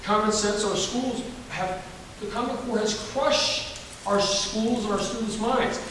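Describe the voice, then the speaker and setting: A Southern-accented man with a high voice speaks emphatically and repeats himself. He is recorded from far off in a large hall with heavy echo and long reverb. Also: unhurried, background noise. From Alabama. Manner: emphatic, folksy, repetitive. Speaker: candidate for governor. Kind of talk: campaign forum answer.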